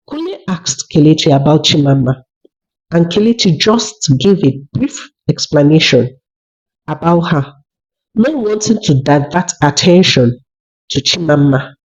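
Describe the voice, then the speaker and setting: A storyteller narrates a scripted folktale at an unhurried, measured pace. The pitch is medium and rises and falls in a lively way. The recording is slightly dull and lo-fi.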